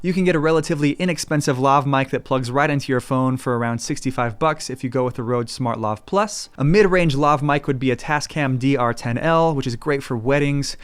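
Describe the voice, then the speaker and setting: A man, host of a filmmaking channel, reading a scripted tutorial in a steady, instructive way. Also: medium pitch, brisk, few pauses. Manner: clear, steady, instructive delivery. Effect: EQ-filtered demo voice: muffled, phone-like, thin.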